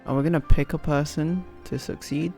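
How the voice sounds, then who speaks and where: A man's voice: on the high side, fairly even intonation, a little fast, vocal fry. A man giving offhand, casual gameplay commentary, muttering to himself over game music. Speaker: game streamer.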